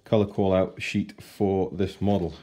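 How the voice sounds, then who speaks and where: A man in his forties giving a chatty, unhurried model kit review at his kitchen table. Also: deep voice, flat delivery, warm tone. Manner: chatty and unhurried, with fillers and self-corrections. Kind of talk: casual unboxing review.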